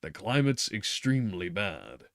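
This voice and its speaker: Man voicing a doctor, male, stern, lecturing, emphatic, deep voice, dry sound. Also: big pitch swings, measured pace, dry recording. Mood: insistent. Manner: emphatic, lecturing, stresses words. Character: stern insistent male doctor, middle-aged. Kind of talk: reading a novel aloud.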